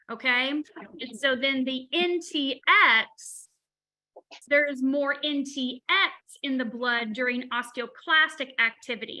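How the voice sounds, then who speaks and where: A woman's voice: fairly high pitch, bright, forceful tone. A woman in her forties explaining study results enthusiastically in an online presentation. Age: 40s. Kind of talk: presenting research in a webinar.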